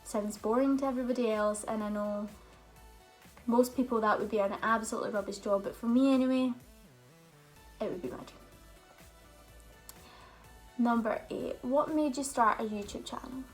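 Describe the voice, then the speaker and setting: A woman's voice: fairly high pitch, steady tone, normal speed, long pauses, soft background music, low-quality recording. A young woman, chatting openly and telling stories in a casual question-and-answer vlog. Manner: chatty, open storytelling with fillers. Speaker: vlogger.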